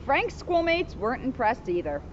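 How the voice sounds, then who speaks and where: A woman's voice: sits high, very animated, normal speed. A woman police officer reading a children's book aloud outdoors, expressively, with chatty asides. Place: outdoors in a sunny spot by a school. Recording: dull, faintly noisy outdoor recording.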